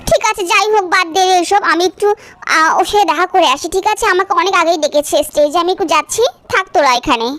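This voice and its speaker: A very high, girlish cartoon voice put on by a woman for a vain bride of eighteen. She is smug, haughty and quick to boast. The sound is close and dry. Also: a bit bright, no room sound. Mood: casual, polite. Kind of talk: cartoon voice acting.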